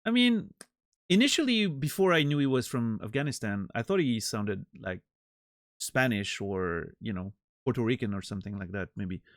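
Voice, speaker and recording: A man's voice: mid-range voice, lively intonation, moderate pace, no echo, studio quality. Rambling, joking male streamer. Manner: rambling, self-interrupting, joking. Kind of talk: casual chat with live chat.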